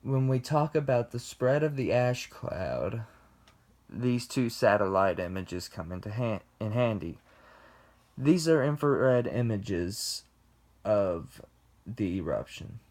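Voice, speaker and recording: Young male student presenter, lowish voice, slow measured read. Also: lively intonation, darkish tone. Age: young adult. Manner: slow, deliberate reading with pauses. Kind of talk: class presentation read from script.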